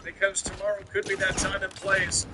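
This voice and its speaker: A man's voice: high voice, little pitch movement, brisk, background noise. A man livestreaming over a shooter game, giving repetitive, combative hype talk and rants. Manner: combative, swearing. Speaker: live streamer. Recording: loud shooter game audio, gunfire and music. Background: game music and gunfire.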